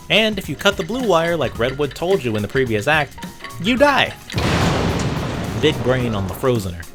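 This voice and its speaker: Guy doing a video essay, wry and sarcastic, pitch moves around a lot. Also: a bit bright. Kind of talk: casual scripted video game retrospective narration.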